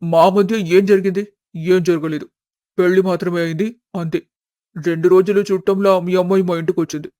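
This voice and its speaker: A man's voice: high voice, steady tone, a little fast, warm tone, dry recording, clean studio sound, lightly acted. A man voicing an angry, aggrieved husband who speaks curtly and accusingly in a family story. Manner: accusing, curt.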